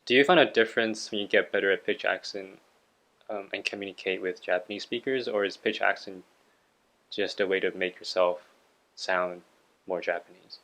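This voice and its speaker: A man hosting an interview, asking questions and sharing views in a measured, reflective way. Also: low-pitched, a bit bright. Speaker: interviewer, channel host. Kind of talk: casual interview conversation.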